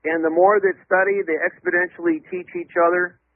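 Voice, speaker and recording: Loud lecturing man on a phone line. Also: sits high, flat delivery, fairly quick, no room sound. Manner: emphatic, lecturing, spells out words letter by letter. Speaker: guest. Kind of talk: radio call-in interview. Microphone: telephone.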